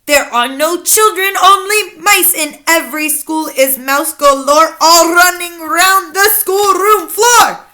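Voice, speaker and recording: A woman as a high, drawling, gloating old witch. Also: sharp tone, heavily acted. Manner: exaggerated, drawn-out, chanting rhymes. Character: gloating evil old female witch leader, gleeful and theatrical.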